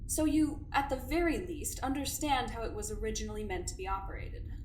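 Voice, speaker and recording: A woman voicing a cold, scheming female doctor who talks in a measured, clinical way with a quiet menace. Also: on the high side, reverberant, a little hiss or hum, lightly acted. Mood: probing. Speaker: doctor running an investigation. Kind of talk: audio drama acting.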